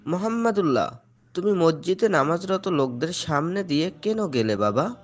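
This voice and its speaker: Man voicing cartoon father, slightly high voice, devout, patient, earnest, instructive. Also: expressive, normal speed, soft background music, lightly acted. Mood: earnest admonishing. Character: devout, gently admonishing father of two. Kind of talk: Islamic moral cartoon dialogue.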